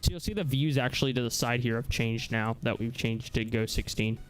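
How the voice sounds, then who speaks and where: A man with a clear, smooth, mid-pitched voice hosts a casual livestream, walking through software as he goes. He often halts to search for words, and music plays behind him. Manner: halting, searching for words as explaining. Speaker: livestream host. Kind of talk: casual live software demonstration.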